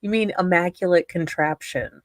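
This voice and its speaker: A woman co-hosting a livestream, reading a document aloud haltingly and adding casual, sarcastic asides. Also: on the low side, no room sound, studio quality. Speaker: livestream co-host.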